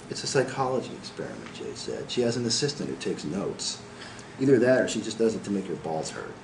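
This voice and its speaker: A man reading first-person literary fiction aloud as an author, with dialogue. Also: medium pitch. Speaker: author reading own work. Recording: distant mic, echoey room, faint noise.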